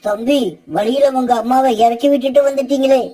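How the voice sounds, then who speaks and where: A woman voicing a concerned, earnest male bird rickshaw driver in an animated fable. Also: high-pitched, a little fast, distant mic.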